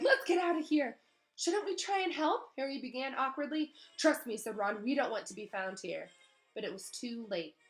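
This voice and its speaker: A woman teacher giving an expressive storybook read-aloud with casual asides to her pupils. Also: medium pitch, low-quality recording.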